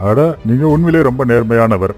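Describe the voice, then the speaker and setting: Rich village employer for a cartoon fable, male actor: kindly, shrewd, plain-speaking, mid pitch, faint music. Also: animated, fairly quick, darkish tone, lightly acted. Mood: businesslike. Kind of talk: Tamil cartoon moral story acting.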